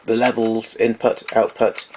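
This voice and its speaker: Low, even-voiced man over noticeable hiss. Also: unhurried. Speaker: tape recorder repairer giving a demonstration. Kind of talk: informal technical demonstration. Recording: noisy amateur recording with clicks.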